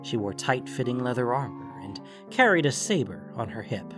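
Mid-pitched male fantasy story narrator. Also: big pitch swings, normal speed. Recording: music under the voice.

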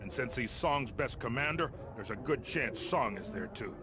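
An actor playing a gruff, impatient middle-aged American army colonel in a video game, briefing in a blunt, commanding, wry way. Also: male voice, medium pitch, lively intonation, moderate pace, noisy background, lightly acted. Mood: businesslike, urgent. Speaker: military officer giving mission briefings.

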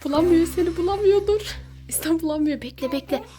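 A woman speaking as a mother in a playful, animated way, giving a child encouraging instructions during a game. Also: extremely high pitch, brisk. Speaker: mother playing hide-and-seek with child. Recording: loud music over the voice.

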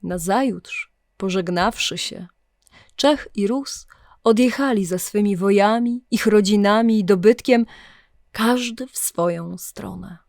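A woman's voice: mid-range voice, measured pace, gravelly, darkish tone. A woman narrating a legend as a solemn, dramatic storyteller in archaic, scripted language.

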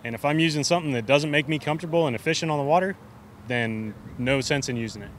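A man's voice: on the high side, quick pace. A man in his thirties, a professional angler, answering outdoors in a blunt, dismissive way. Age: 30s. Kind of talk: interview answers. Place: outdoors by boats. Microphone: handheld mic held by interviewer. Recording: faint outdoor noise.

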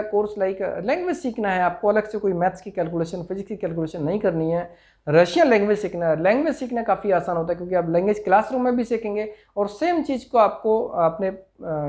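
A man's voice: high-pitched, darkish tone. An Indian man in his forties talks to the camera as a consultant presenting an opportunity. He explains things point by point, dropping in plenty of English terms. He is recorded on a clip-on mic in a small office. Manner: Hindi mixed with many English terms, explanatory. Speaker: overseas recruitment consultant. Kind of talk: informational promotional talk to camera. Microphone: clip-on lapel mic.

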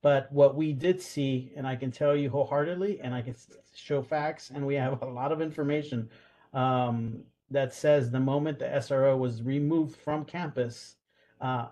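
A man's voice: mid-range voice, a little mellow. A middle-aged man speaking earnestly on a video call panel, with many fillers and restarts. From Guatemala. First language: Spanish. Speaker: panelist, school police association president. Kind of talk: online panel discussion. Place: home or office room, video call. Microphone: video call webcam.

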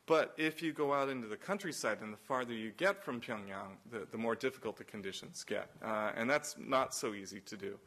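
A man's voice: mid-range voice, brisk, few pauses, a bit bright. A man giving an anecdotal symposium talk with slides as a nonprofit director, loose and full of fillers and restarts. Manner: acts out quoted exchanges in raised voices. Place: college symposium room.

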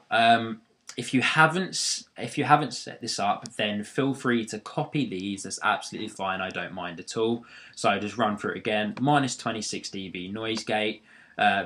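A young man with a deep voice, talking through a screen-recorded tutorial in a rambling, friendly way, with lots of fillers and restarts, recorded on a laptop's built-in microphone in his bedroom. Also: fairly bright tone. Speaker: tutorial maker. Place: bedroom near a busy road.

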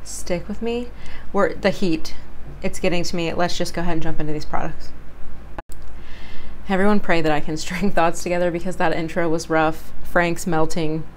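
Rambling, self-mocking woman vlogger, low voice. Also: steady tone, normal speed, slight background noise, rough recording. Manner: rambling, self-deprecating, stumbles over words. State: voice giving out. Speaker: beauty vlogger. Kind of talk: casual product review chat.

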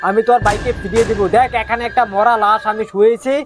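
Man playing a very high-pitched, commanding exorcist. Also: steady tone, fast, faint music behind, lightly acted. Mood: assured. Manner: imperious, incantatory, repeating commands and chants. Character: commanding male village exorcist, stern and forceful. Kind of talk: Bengali horror cartoon voice acting.

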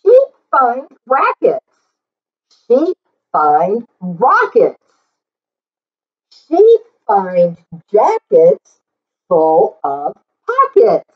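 A middle-aged woman reading a picture book aloud for children, expressively and deliberately, drawing out words and adding simple explanations. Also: medium pitch, huge pitch swings, husky, muffled recording. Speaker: children's story reader and teacher.

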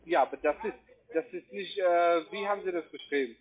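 A non-native man with a foreign accent doing persuasive sales talk over the phone, insistent, repetitive and halting in his phrasing. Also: a little high, husky. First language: not German. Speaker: call center agent selling trading courses. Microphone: telephone. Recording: tinny, band-limited phone line.

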